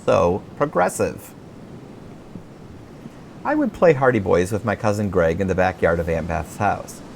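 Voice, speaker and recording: A middle-aged man with an American accent, reading his own humorous memoir as an audiobook with wry, expressive storytelling. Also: sits low, unhurried, gravelly, slight background noise.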